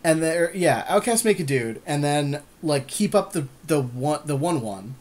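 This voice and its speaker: A man's voice: a little high, expressive, unhurried, darkish tone. A man co-hosting casual gameplay commentary, agreeing readily with playful, slangy banter. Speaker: podcast co-host playing an online card game.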